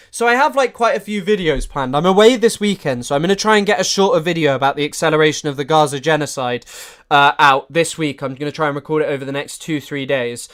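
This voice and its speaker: A man giving chatty live-stream commentary, animated and full of fillers and restarts. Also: a little high, smooth.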